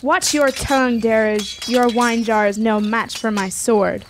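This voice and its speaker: An actress playing a proud, sharp-tongued young warrior maiden in a fantasy radio drama, speaking in formal, defiant phrases. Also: female voice, on the high side, fairly even intonation, measured pace, background noise, lightly acted. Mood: proud, mocking. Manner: formal, archaic, declamatory phrasing.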